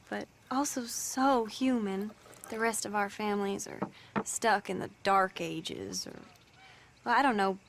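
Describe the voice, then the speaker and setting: An actress playing a spirited, idealistic young Southern belle in a period video game, with an American Southern accent and a genteel, chatty, wry manner. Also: female voice, fairly high pitch, slight background noise. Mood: flustered, amused.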